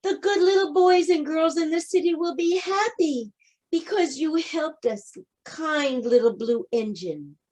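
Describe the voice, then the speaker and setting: Small storybook dolls and toys, acted out by a woman reader. They speak as one in a high, insistent voice, pleading earnestly. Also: lively intonation, unhurried, darkish tone. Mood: grateful.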